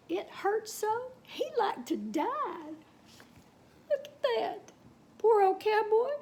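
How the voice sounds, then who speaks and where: Very animated, high-voiced woman storyteller. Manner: animated, folksy, dramatic. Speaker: children's story reader. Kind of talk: expressive storybook read-aloud with folksy asides.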